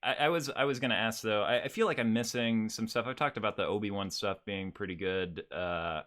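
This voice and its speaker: A man's voice: on the low side, fairly quick, a bit bright. A man in a casual podcast conversation, feeling his way through thoughts with hesitant phrasing. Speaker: podcast co-host. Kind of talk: casual chat.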